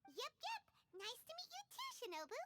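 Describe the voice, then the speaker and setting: A tiny childlike game sidekick squeaks in an extremely high, swooping voice. She is dramatic, anxious and cheeky, exclaiming her way through things over clearly noisy audio. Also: huge pitch swings, normal speed, exaggerated voice. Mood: curious, cheeky. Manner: dramatic, exclamatory, refers to self in third person. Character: tiny excitable childlike female floating companion, anxious and cheeky. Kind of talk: anime video game voice acting.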